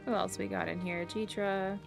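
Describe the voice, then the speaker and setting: Creaky-voiced woman streaming a game. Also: medium pitch, steady tone, normal speed, over music. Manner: musing, reads her dialogue choices aloud. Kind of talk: casual game commentary.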